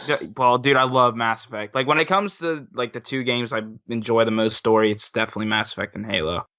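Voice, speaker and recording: A man's voice: medium pitch, fast talker, pushed and bright. A man co-hosting a gaming podcast, talking casually in an informal, slangy, emphatic way. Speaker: podcast co-host. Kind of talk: casual chat.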